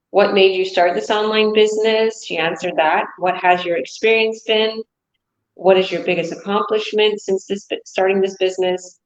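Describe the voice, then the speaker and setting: A woman hosts a casual online interview, breezy and talkative, with a slightly low voice. Her audio is roomy and muffled, with a dull, lo-fi quality. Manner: breezy, chatty, many fillers. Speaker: interviewer, host. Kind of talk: casual video call interview.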